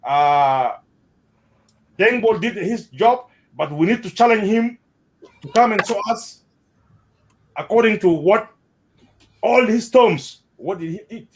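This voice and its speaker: Animated South Sudanese man, high lively voice. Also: slow pace, long pauses, poor audio. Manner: animated, repeats words, mixes in Arabic phrases. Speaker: interviewee. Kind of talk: casual spoken commentary.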